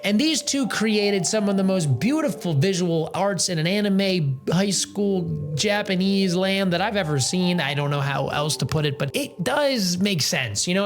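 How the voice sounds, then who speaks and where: A man hosting a casual video game review, talking conversationally with ad-libbed asides and punchy exclamations. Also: sits high.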